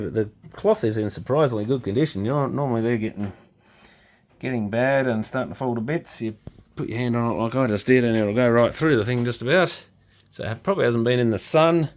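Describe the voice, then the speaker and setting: Male, Australian accent, lowish voice, understated off-the-cuff workshop commentary. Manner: unscripted, musing, understated. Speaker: electronics repairer presenting his channel. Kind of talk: casual hands-on commentary.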